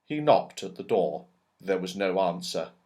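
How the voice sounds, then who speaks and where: A man's voice: deep voice, animated, unhurried, a little mellow. A man narrating a children's story expressively, with dramatic and emphatic phrasing. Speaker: audiobook narrator.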